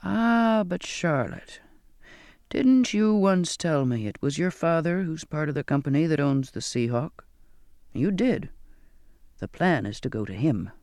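A man acts out an earnest, kindly sailor in an audiobook story. He takes his time, coaxing and questioning in a measured way. The sound is dry and close. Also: on the high side, big pitch swings, a little mellow, no room sound. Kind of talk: audiobook character dialogue.

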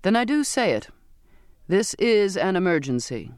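A man's voice: high voice, sing-song, normal speed, no room sound, clean studio sound. A man voicing a harsh, commanding sea captain in an audiobook, barking orders with sarcasm. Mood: stern, sardonic. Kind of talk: audiobook character voice.

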